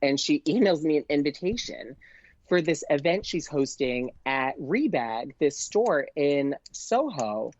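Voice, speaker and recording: A man telling a casual anecdote as a podcast guest, gushing and excitable, saying "like" often and acting out both sides of conversations. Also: fairly high pitch, unhurried, lo-fi sound. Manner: gushing, excitable, frequent "like", acts out dialogue. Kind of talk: casual anecdote, chatty storytelling.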